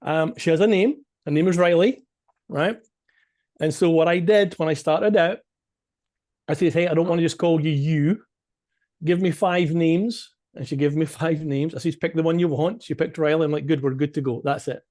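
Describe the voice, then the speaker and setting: High-voiced Scottish man presenting informally. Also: moderate pace, a little mellow. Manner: conversational, emphatic, often checks in with "right?". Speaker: business coach and consultant giving a presentation. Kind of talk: informal explanatory business presentation.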